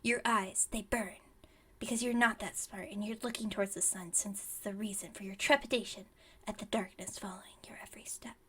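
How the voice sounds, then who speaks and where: A woman's voice: steady tone, brisk, bright, forceful tone, slightly rough. A woman playing a flustered, dramatic, scatterbrained witch shopkeeper in an ASMR roleplay, theatrical but chatty and rambling. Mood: hushed, ominous. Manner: theatrical sales pitch slipping into chatty rambling.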